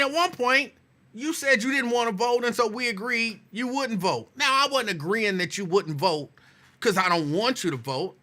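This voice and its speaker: A man's voice: high voice, pushed and bright. A male talk show host losing his voice, chatting with listeners and repeating phrases. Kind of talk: casual talk show chat.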